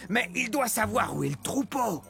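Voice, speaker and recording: A man's voice: high-pitched, very animated, normal speed, gravelly, full character voice. A man voicing a big, friendly, bumbling woolly mammoth in a children's educational cartoon, talking with exclamations to young viewers. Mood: chatty, amused. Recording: music under the voice.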